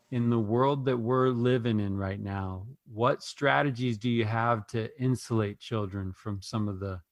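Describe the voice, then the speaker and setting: A man hosting a casual group conversation, speaking earnestly and thoughtfully with halting phrasing. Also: mid-range voice, no echo. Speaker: podcast host.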